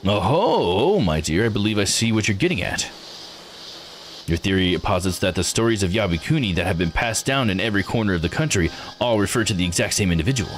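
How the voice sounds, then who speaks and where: Man voicing a low, genteel, thoughtful listener. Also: expressive, a little fast, slight background noise, natural voice. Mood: curious, measured. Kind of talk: visual novel character read aloud.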